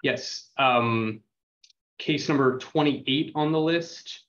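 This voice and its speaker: A man's voice: mid-range voice, takes it slowly, fairly bright tone. Man on a dull, lo-fi call line. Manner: businesslike, reads case details. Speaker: city attorney. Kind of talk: formal hearing remarks.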